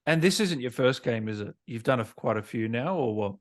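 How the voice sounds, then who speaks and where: A man with a mid-pitched voice interviews a guest in a casual, chatty style. His manner is informal, with plenty of short interjections as he goes. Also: animated, fairly quick. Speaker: interviewer, host.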